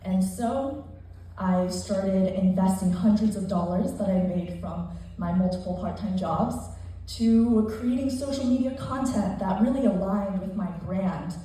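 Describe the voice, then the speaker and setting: A young woman delivers an emphatic motivational talk from a stage, her tone mellow and dark. The sound is heavily echoey, picked up from far off across a large hall. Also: mid-range voice, unhurried. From United States, immigrated at seven. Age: young adult. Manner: earnest, emphatic, builds to rhetorical lists. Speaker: conference speaker. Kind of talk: rehearsed motivational speech.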